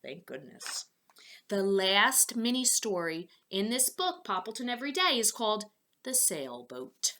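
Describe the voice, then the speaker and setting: Female children's read-aloud host: very bright tone, unhurried pace, expressive, chatty asides to young listeners. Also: medium pitch. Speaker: storybook reader for children.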